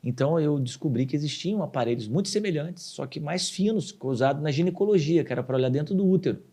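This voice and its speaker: Fast-talking gravelly man. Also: fairly high pitch. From southern Brazil. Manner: animated storyteller, acts out quoted dialogue. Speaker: urologist guest. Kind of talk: casual podcast interview.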